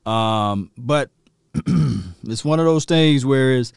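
A man with a Mississippi drawl riffs on football like he is talking to friends, laughing and calling out to his listeners. His voice sits at an ordinary pitch, and the sound is close and dry. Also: slow, creaky, clear, resonant tone, dry recording. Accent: Southern US, Mississippi. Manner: animated, laughing, talks straight to listeners. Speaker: podcast host. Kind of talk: casual sports commentary.

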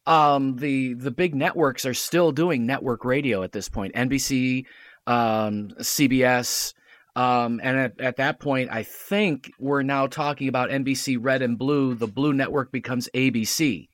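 A man talking casually as a podcast guest, explaining history in a halting, stop-and-start way. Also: mid-range voice, steady tone, no echo.

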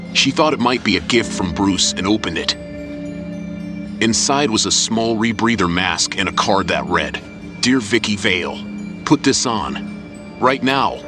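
Male storyteller for audio drama, low voice, scripted narration with music clearly behind. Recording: loud music under the voice. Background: loud dramatic music.

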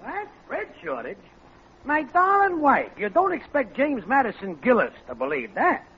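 An actor playing a self-important, scheming American neighbor, mock-grandiose and easily offended, in 1940s radio sitcom style. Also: male voice, very high-pitched, wildly swooping pitch, normal speed, full character voice. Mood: indignant. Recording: dull, noisy old radio recording.

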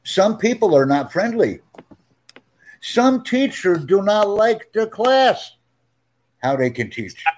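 A man speaks at a deliberately slow pace, with a slightly raised pitch. He is a tutor who talks in a friendly, emphatic way and often repeats himself for clarity. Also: big pitch swings, poor audio. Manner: speaks slowly and clearly, friendly, emphatic repetition. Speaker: English tutor. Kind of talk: slow, clear teaching talk.

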